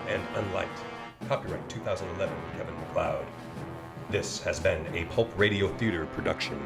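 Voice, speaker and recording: Low-pitched male pulp radio narrator over music. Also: fairly quick, gravelly. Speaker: radio drama narrator and announcer. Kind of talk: dramatic scripted narration, old-time pulp radio. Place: studio live performance. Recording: loud music under the voice.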